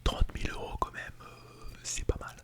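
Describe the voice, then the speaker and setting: An ASMR artist speaking in a soft, unvoiced breathy whisper, playing an instructor giving a lightly playful lesson, recorded close on a binaural microphone. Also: normal speed. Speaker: ASMR artist role-playing as a teacher at an ASMR school. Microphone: close Blue Yeti microphone in binaural mode.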